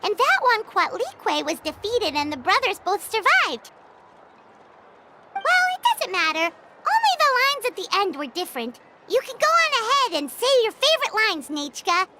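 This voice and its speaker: A woman voicing a small, excitable, childlike fairy companion in a video game, exclamatory and talking about herself in the third person. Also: very high voice, normal speed, heavy background noise. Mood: puzzled, then playful.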